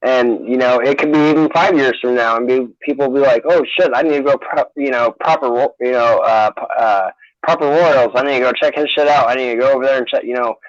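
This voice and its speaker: Excitable man on a dull lo-fi line. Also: medium pitch, a little fast, no echo. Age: 30s. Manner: excitable, casual swearing. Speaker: co-host. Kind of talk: casual livestream chat. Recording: dull lo-fi dry sound.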